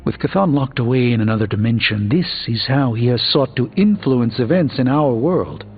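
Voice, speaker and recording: An actor playing a learned sorcerer mentor in a video game, speaking in a grave, measured, formal way. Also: male voice, medium pitch, lively intonation, close to an everyday voice. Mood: grave, explanatory. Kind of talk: video game character dialogue. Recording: dull band-limited game audio, music behind.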